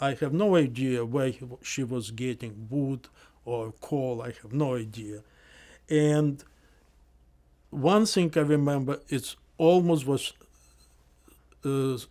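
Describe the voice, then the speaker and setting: Older man speaking slowly, with halting pauses. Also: mid-range voice, darkish tone. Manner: slow and halting, with many pauses and fillers; non-native grammar, articles often dropped. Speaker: interviewee. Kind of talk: oral history testimony.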